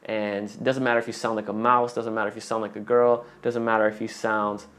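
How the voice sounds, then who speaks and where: A man's voice: fairly low pitch, flat delivery, brisk, fairly bright tone. Casual man coaching rap on a live stream. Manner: casual, reads viewer questions aloud and answers.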